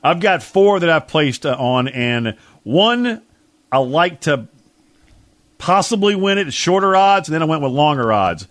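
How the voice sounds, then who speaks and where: Slangy male sports radio co-host, fairly high voice. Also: sing-song, unhurried, fairly bright tone, dry recording. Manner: colorful, slangy, hyperbolic. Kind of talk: casual sports talk and betting picks.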